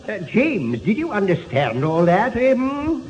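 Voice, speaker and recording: A man's voice: sits high, huge pitch swings, a little slow, full character voice. A man playing a genial, doddering, genteel vicar who hums and haws, in old radio sitcom style. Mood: genial, puzzled. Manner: hesitant, punctuating with eh and hmm. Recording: muffled, echoey old radio recording.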